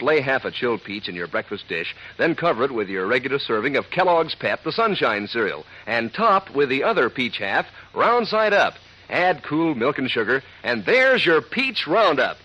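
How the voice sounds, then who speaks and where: A man delivers an old-time radio commercial to young listeners. His mid-pitched voice is animated and folksy, bouncing up and down. The vintage recording is somewhat muffled. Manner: folksy, peppy pitchman addressing children. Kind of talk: 1940s radio advertisement. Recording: dull, husky-sounding old radio recording.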